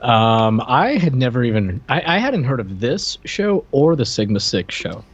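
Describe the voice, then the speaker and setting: A man's voice: medium pitch, sing-song, a little slow, breathy edge, muffled recording. A man co-hosting a podcast, chatting informally and thinking aloud in a hesitant way. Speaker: podcast co-host. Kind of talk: casual media review chat.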